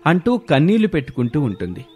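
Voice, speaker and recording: A man's voice: a little high, huge pitch swings, quick pace, darkish tone, soft background music. A man reading a scripted children's moral story as narrator.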